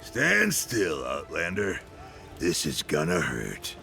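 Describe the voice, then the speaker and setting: A nasty game thug, slow gravelly growl, sharp edge, contemptuous, faint music under. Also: male voice, a bass voice, wildly swooping pitch, heavily acted. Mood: threatening. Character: menacing male thug, contemptuous, slow and threatening. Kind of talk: video game voice acting.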